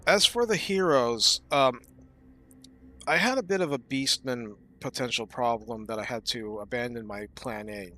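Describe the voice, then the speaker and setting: A man giving casual gameplay commentary, explaining his strategy thoughtfully and often pausing with fillers. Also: medium pitch, sharp tone.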